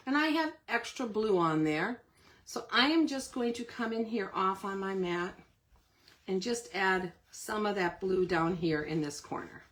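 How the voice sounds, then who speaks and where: A woman with a slightly hoarse voice who keeps clearing her throat, giving a chatty step-by-step live card-making demonstration. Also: medium pitch, a bit bright. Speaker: craft demonstrator.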